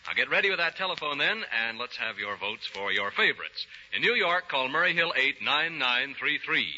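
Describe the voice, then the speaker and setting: A man's voice: medium pitch, sing-song, normal speed, bright, forceful tone. A man with an American accent announcing a scripted 1930s radio show in an emphatic, formal selling style. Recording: dull, noisy 1930s radio recording.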